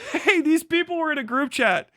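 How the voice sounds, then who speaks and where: A man's voice: very high-pitched, expressive, normal speed, pushed and bright, dry recording, studio quality. An American man livestreaming a casual reaction, reading aloud and breaking off into incredulous, sweary asides. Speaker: livestream host.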